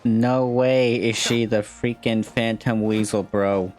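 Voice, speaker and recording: A woman's voice: a bass voice, steady tone, takes it slowly, darkish tone, faint music behind. A woman giving casual reaction commentary as a game streamer.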